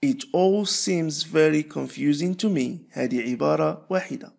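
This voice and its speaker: A man teaching English, repeating short phrases slowly and switching to quick explanations. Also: fairly high pitch, creaky, darkish tone. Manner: repeats phrases slowly, stretching words apart; explains rapidly between drills. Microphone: voice-over.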